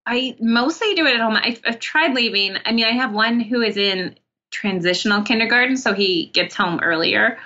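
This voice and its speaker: Bright-voiced woman, chatty and rambling. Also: on the high side, normal speed, dull audio. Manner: rambling, animated storytelling with many 'like' asides. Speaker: interview guest, author. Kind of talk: casual chat.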